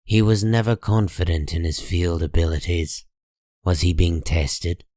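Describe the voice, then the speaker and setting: A man reading dramatic comic-book narration in a grave, measured and deliberate manner. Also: low voice, steady tone, warm tone, no room sound, clean studio sound.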